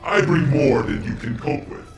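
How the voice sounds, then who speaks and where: Gravelly robotic killer cyborg. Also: male voice, mid-range voice, big pitch swings, moderate pace, over music. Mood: cold menace. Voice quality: gravelly, metallic. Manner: clipped, menacing, declarative. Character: hostile cold robotic cyborg ninja, hunter of humans. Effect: robotic voice filter. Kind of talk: video game character dialogue.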